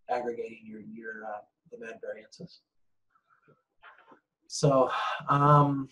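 A man's voice: medium pitch, husky, low-quality recording. A man lecturing a university class over slides, talking loosely with many fillers and restarts.

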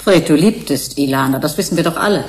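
An actress playing a frank, reflective researcher in a German radio drama. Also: female voice, sits low, fast talker. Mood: sober. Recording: faint background noise.

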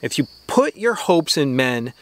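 A man's voice: medium pitch, big pitch swings, a little slow. A man in his thirties, host of a homesteading channel, talking earnestly to the camera in his backyard garden with a preachy, exhortative manner and emphatic repetition. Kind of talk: earnest faith-based vlog talk to camera.

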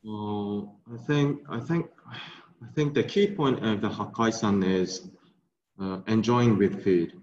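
A young man with a Japanese accent speaking halting English, often repeating words, on a video call. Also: fairly low pitch, a little mellow, slight background noise. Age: young adult. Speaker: brewery guest. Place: tasting room with bottle shelves. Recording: roomy, dull video call audio.

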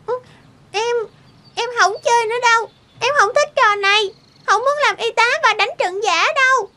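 A woman's voice: extremely high pitch, moderate pace. A woman voicing a shy, dreamy little girl with a small childlike voice in a cartoon. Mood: reluctant. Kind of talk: cartoon dubbing. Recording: loud music behind the voice. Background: children's instrumental music.

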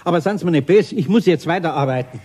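A good-natured older Bavarian craftsman, with a husky, slightly high voice. He grumbles and gently chides in a homely dialect, in the manner of a vintage children's radio play. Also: animated, quick pace. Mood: patient.

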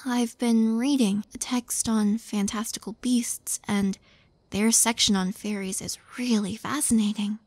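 Soft, breathy, slow-talking shy young witch, acted by a woman. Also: on the high side, steady tone, dry recording. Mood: gently curious. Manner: gentle, hesitant, halting, often breathy near-whisper. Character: sweet, shy, apologetic young witch healer. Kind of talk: soft intimate audio roleplay.

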